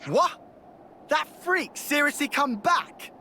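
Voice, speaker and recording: An actor playing a cocky, impatient young fighter in a video game, with brash, punchy delivery. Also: male voice, extremely high pitch, sing-song, takes it slowly, bright, forceful tone, slight background noise, exaggerated voice. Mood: impatient, surprised. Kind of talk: video game cutscene acting.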